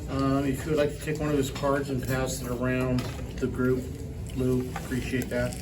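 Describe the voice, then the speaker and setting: A man speaking as a host, giving an informal introduction in a halting, polite way. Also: medium pitch, completely flat delivery. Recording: distant mic with background noise.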